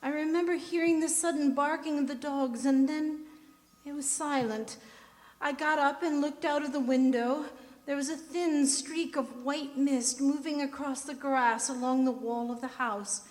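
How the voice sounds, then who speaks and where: A haunted young wife, played by a woman tells an eerie journal entry in old radio drama manner. The voice is high and the storytelling measured, heavy with dread. A long reverb from the stage hall surrounds it. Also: fairly even intonation, a little mellow.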